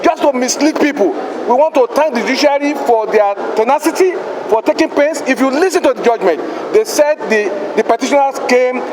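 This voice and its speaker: A man's voice: very high voice, a little fast, hardly any pauses. A man with a Nigerian accent making an impromptu press statement as a political supporter. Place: outdoors near traffic. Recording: noisy outdoor street with traffic.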